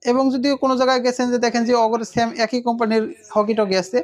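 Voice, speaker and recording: A woman's voice: on the high side, flat delivery, fast, a little mellow. A woman presents a casual unboxing demonstration, explaining informally and repeating her points.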